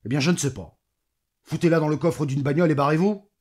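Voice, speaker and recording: Corrupt mayor played by a man: fairly high male voice, bullying, scornful, quick to swear. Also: a little mellow, natural voice. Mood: irritated, scornful. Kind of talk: audio fiction acting.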